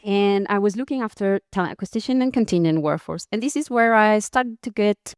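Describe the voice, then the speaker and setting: A woman from Costa Rica talks through her career as a podcast guest. Her voice is smooth and resonant, and she laughs lightly and often, with plenty of fillers and restarts. The sound is dry, with no room around it. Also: mid-range voice, brisk, no room sound. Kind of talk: interview conversation.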